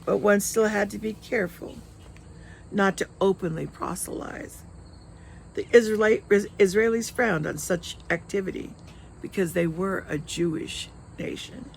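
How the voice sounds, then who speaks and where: A woman reading her own novel aloud as a storyteller, with expressive, dramatic narration. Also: mid-range voice, measured pace, gravelly, slight background noise, poor audio. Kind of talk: expressive audiobook-style narration.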